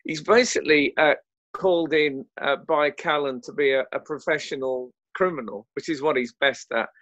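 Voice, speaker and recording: A man's voice: fairly high pitch, normal speed, a bit bright, no echo, highs cut off. A man, an actor chatting in a casual interview in a wry, hesitant way. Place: home, remote lockdown recording.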